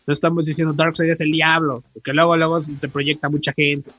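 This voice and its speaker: Husky, higher-pitched Mexican man podcasting. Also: level tone, brisk, a bit bright. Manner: emphatic, repeats words for stress. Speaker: podcast co-host. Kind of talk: casual, slangy podcast chat. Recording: music behind the voice.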